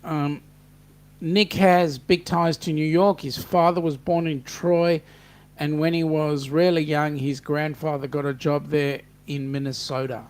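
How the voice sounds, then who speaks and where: An upbeat Australian man hosting a relaxed online chat and reading out viewer comments. His voice sits a little high for a man, and he keeps a friendly, chatty flow. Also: unhurried. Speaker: host.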